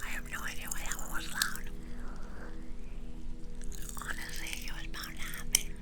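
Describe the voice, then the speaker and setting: A young woman streaming ASMR, chatting to her viewers in a soft whisper and rambling from one thing to the next. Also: moderate pace. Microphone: binaural microphone. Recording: music playing behind the voice.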